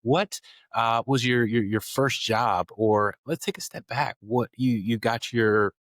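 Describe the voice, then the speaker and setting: A man's voice: mid-range voice, fairly even intonation, takes it slowly, a bit bright, no echo, clean studio sound. A man hosting a podcast, enthusiastic and praising his guest, often restarting and repeating words. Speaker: podcast host. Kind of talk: interview conversation.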